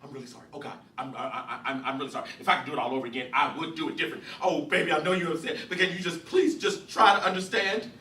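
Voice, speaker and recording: A man voicing a distraught mother who pleads and apologizes in a high, breathy, often hushed voice. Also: animated, moderate pace, full character voice. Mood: remorseful. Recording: roomy, distant mic, faint hiss.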